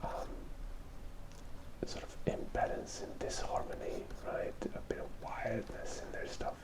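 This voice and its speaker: Casting: ASMR drawing host, fully whispered and breathy, casual, hesitant, thinking aloud, close on the mic. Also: unhurried, poor audio. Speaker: channel host, artist. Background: drawing tablet sounds.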